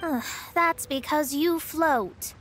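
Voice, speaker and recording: A woman's voice: sits high, takes it slowly, loud music behind the voice. A woman playing a proud young astrologer in a video game, speaking in a formal, pompous and haughty manner. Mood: haughty, stern. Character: proud young female astrologer, haughty master hiding her poverty.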